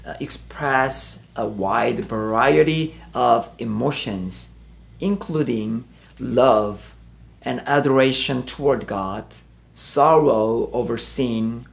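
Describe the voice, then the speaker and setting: A man with a Korean accent preaches in English, his native tongue being Korean. He speaks slowly and deliberately, with a gravelly, creaky edge to his voice and an even, mid-range pitch. His delivery is measured and sounds carefully read. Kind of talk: sermon.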